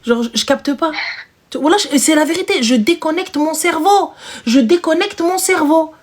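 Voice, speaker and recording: A woman's voice: sits high, moderate pace. A single mother hosting a live stream, chatting casually and emphatically with slangy speech and repeated phrases.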